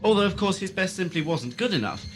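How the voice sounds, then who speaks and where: A man's voice: sits high, expressive, fast, slight background noise. A man playing a suave villainous lord, swooping intonation. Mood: silky menace. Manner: mock-courteous, silky, condescending. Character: suave, sinister lordly keeper of an asylum, a father. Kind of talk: science fiction audio drama acting.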